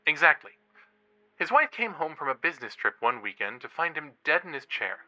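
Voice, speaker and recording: An actor playing an eager young college true-crime podcaster in a horror audio drama, a teasing, suspenseful storyteller. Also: male voice, a little high, very animated, fairly quick, pushed and bright, exaggerated voice, rough recording. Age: young adult.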